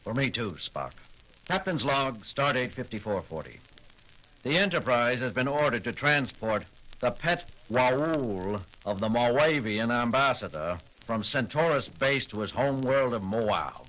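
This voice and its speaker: An actor playing a commanding male starship captain who talks in a brisk way, giving orders, in a 1970s audio drama. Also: medium pitch, expressive, fairly bright tone, rough recording.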